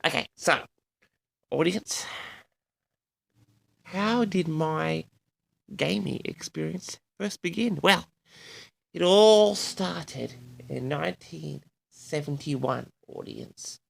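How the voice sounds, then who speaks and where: A man in his sixties from Australia hosting a personal audio log, reminiscing in a rambling, halting and boastful way straight to his listeners. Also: on the high side, lively intonation, creaky. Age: 60s. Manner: boastful, halting, often addresses the audience.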